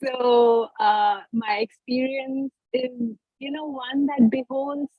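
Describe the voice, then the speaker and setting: A woman from India, a poet, reading her poem and then answering questions in a slow, measured, reflective way. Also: high-pitched, little pitch movement, dry recording, dull audio. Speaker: guest poet. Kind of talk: poetry reading and interview answers.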